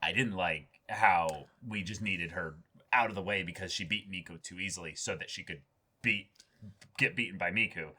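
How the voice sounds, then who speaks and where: A man co-hosting a casual discussion, offering analytical commentary in a halting way, repeating words as he works through his point. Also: deep voice, sharp tone, slightly rough. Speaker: co-host of an anime reaction and discussion show.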